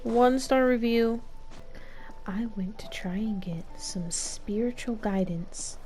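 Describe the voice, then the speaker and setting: A woman streamer giving playful, theatrical gameplay commentary with deadpan joking, game music behind her. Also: a little high, slow. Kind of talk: playful live gameplay commentary with improvised dramatics.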